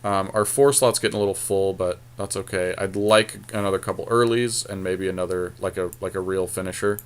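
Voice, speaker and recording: Male podcast co-host, lowish pitch, casual gaming commentary, thinking out loud. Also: fairly even intonation, brisk, clear, resonant tone. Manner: thinking aloud. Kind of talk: casual commentary on a card game draft.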